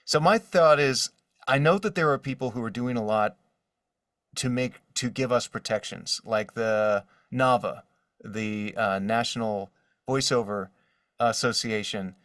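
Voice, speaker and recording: A man's voice: medium pitch, measured pace, fairly bright tone, no echo, studio quality. Slightly distant male voice actor, chatting casually. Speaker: voice actor, interview guest. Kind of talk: casual podcast interview.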